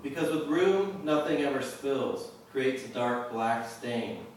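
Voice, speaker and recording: A man giving a live poetry reading in a measured, deliberate way, pausing at the ends of lines. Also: mid-range voice, expressive. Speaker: poet. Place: indoor reading venue with a live audience. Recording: very echoey room, distant mic, faint noise.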